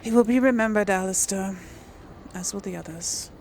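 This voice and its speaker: A woman's voice: mid-range voice, moderate pace, background noise. A woman hosting a casual game role play, musing and thinking aloud. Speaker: gamer, series host.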